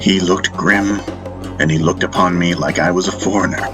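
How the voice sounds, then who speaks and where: A man's voice: low voice, fairly even intonation, moderate pace, over music. A man reading a fantasy audiobook as a dramatic first-person narrator, solemn and intense.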